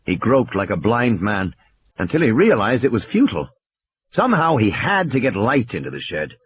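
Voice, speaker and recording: A man's voice: on the low side, big pitch swings, moderate pace, breathy edge, dry recording, lo-fi sound. A man reading dramatic, scripted audiobook narration as the narrator of a story. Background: music bed.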